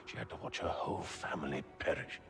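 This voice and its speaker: A man voicing a sly, cryptic wandering scholar who feigns harmlessness in a video game, with halting, theatrical, insinuating delivery. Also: extremely low pitch, lively intonation, creaky, music in the background, full character voice. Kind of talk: video game character acting.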